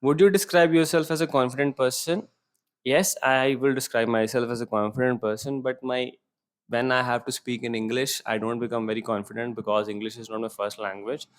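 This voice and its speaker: A man whose first language is Punjabi, teaching as an IELTS speaking tutor, reading each question aloud and then answering it in simple, steady sentences. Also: medium pitch, fairly quick. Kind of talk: exam-prep lesson with model answers.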